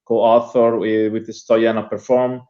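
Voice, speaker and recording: A man's voice: lowish voice, little pitch movement, slow pace, warm tone, highs cut off. A man from Spain introducing himself as a panelist with dry, self-mocking jokes. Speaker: startup accelerator executive, panelist.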